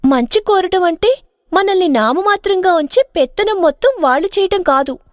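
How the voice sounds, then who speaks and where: A woman's voice: extremely high pitch, no echo, studio quality, voice pushed up. A woman voicing a discontented, assertive young village wife in a story, complaining and insistent.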